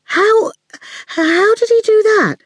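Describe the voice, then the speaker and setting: A woman's voice: sits high, huge pitch swings, measured pace, no room sound, pitched above the performer's own voice, exaggerated voice. A woman voicing a nervous, fluttery young female student in a story. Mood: astonished.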